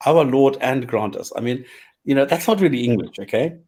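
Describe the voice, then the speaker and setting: A man's voice: medium pitch, expressive, a little mellow. A man co-hosting a casual talk show, reading out translations and stopping to explain and repeat his points. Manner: measured, repeats himself, stops to clarify points. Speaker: podcast co-host.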